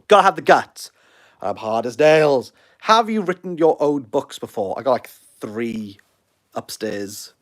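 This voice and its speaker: A man's voice: mid-range voice, lively intonation, a little slow. A Welsh man in his thirties hosting a casual livestream, rambling and self-deprecating as he answers viewer comments. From Wales. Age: 30s. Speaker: livestream host.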